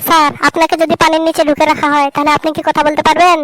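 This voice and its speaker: A woman's voice: high-pitched, flat delivery, natural voice, poor audio. A woman voicing a cheeky schoolboy who gives absurd answers in a comedy cartoon. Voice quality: fast, cheeky storytelling. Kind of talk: cartoon voice acting.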